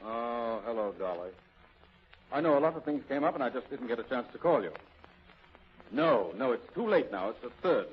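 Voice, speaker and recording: Theatrical suave man, animated, muffled old radio. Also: medium pitch, moderate pace, slightly rough. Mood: impatient. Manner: theatrical, volatile, courtly. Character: suave, excitable businessman, exasperated then tenderly romantic. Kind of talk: 1930s radio drama acting. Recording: muffled vintage radio recording, music behind.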